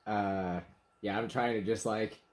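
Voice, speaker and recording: Joking young man, relaxed chat. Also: lowish voice, a little slow. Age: young adult. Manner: relaxed, joking, chuckles a lot. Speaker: channel co-host. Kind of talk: casual get-to-know-you chat. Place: small bedroom indoors.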